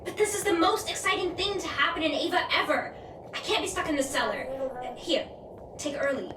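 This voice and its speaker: A woman's voice: very high voice, animated, a little fast, background noise. A young woman playing a restless small-town mother who longs for adventure, earnest and discontented, in an audio drama. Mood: eager, insistent. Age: young adult.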